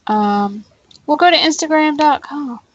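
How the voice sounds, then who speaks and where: A woman's voice: sits high, a little slow, dull audio. A woman co-hosting a live show in loose, casual conversation. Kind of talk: casual chat.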